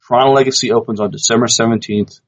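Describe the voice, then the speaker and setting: A man co-hosting a podcast, reading news items and chatting casually, sometimes haltingly, on a lo-fi recording. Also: mid-range voice, fairly even intonation. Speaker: podcast co-host. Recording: dull, lo-fi podcast audio.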